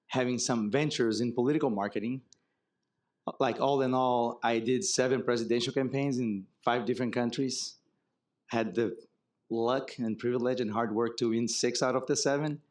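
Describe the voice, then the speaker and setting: A man from Brazil with a Brazilian accent speaks as the guest in an onstage fireside chat before an audience. He explains with examples in a relaxed way and often adds a wry, humorous turn. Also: mid-range voice. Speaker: marketing executive guest. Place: conference stage before an audience.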